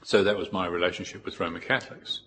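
Casting: New Zealand male, middle-aged monk, low voice, measured informal teaching, some background noise. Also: steady tone, a bit bright. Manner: reflective, measured with pauses. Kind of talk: informal spoken dharma talk with personal anecdotes.

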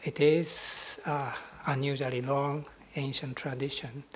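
A middle-aged man from Japan with a Japanese accent, a Buddhist monk giving a dharma talk at a ceremony in a soft, husky voice, pausing often and hesitating over his words. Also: mid-range voice, level tone, slight background noise. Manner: soft-spoken, hesitant, with many pauses and fillers.

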